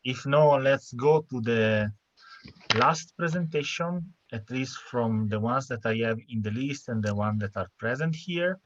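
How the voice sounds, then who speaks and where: A man's voice: mid-range voice, lively intonation, unhurried, a little hiss or hum. A man moderating an online hackathon session, introducing presenters and calling for questions. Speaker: event moderator. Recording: dry muffled call audio.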